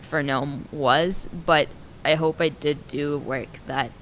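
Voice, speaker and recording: A young woman speaks softly and hesitantly, her pitch sitting low for a woman. A faint rumble hums under the recording. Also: fairly even intonation, slow pace, low-quality recording. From Israel, raised in the United States. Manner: soft-spoken, hesitant, many "um"s and laughs. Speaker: game artist. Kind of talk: interview answers.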